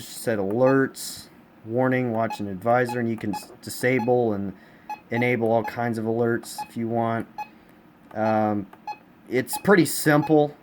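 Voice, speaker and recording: A man from the United States giving a casual, unscripted review as a collector, often repeating himself for emphasis. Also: mid-range voice. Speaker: radio collector presenting a collection. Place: indoors in a home room. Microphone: handheld camera, speaker behind it. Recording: clear background room noise.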